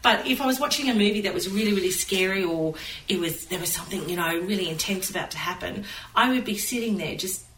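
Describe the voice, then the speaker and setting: A woman's voice: mid-range voice, fast, a bit bright. A woman hosting a casual conversational talk show. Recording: echoey, distant mic, faint noise.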